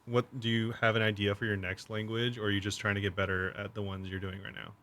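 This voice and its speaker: A man's voice: lowish voice, little pitch movement, fast, pushed and bright. A young man co-hosting a podcast, talking in a laid-back, dry way with short replies. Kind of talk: casual chat.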